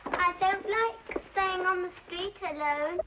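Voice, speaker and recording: A woman's voice: very high-pitched, slow, very noisy. An actress playing a reluctant but obedient little girl of about seven in an old-time radio drama, plaintive and reciting. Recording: dull old radio recording with music.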